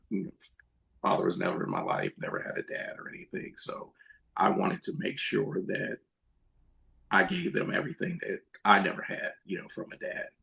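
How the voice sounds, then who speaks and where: A middle-aged man with a heavily creaky, husky voice speaks as a guest writer. He is candid and conversational, earnest and reflective, and pitched on the low side. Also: fairly bright tone. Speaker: screenwriter, panel guest.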